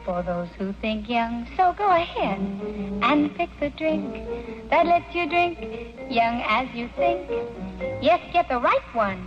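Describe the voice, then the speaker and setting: A woman's voice: high-pitched, animated, a little slow. A woman singing a vintage radio commercial jingle. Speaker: jingle singer. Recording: muffled old radio recording, loud music.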